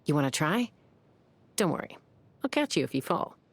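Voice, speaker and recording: An actress playing a confident young woman warrior in a video game, cool, measured and wry, with a low, slightly gravelly voice. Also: big pitch swings, no echo, exaggerated voice. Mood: calm, earnest. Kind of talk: scripted game character voice lines.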